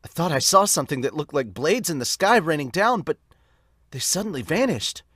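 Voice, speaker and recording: A man's voice: fairly high pitch, sing-song, brisk, a bit bright, slightly rough, no room sound, clean studio sound, pitched above the performer's own voice, full character voice. A man voicing frightened, bewildered villagers in an amateur manga dub, with anxious exclamations.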